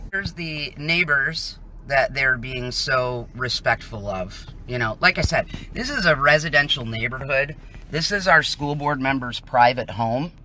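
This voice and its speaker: A woman's voice: low voice, a bit bright, slight background noise. An American woman giving live on-scene commentary outdoors as a citizen reporter, speaking in an indignant, emphatic way. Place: outdoors on a residential street.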